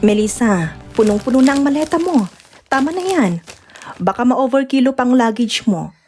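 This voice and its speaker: A woman playing a kind older-sister friend who comforts and gently teases in an audio drama. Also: on the high side, animated, normal speed, pitched above the performer's own voice, close to an everyday voice. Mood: teasing. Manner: comforting, teasing. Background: music.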